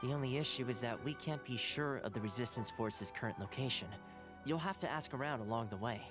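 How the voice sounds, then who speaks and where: An actor playing a loyal young retainer in an anime video game, speaking in a measured, courteous and reassuring way. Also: male voice, medium pitch, close to an everyday voice. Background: game music.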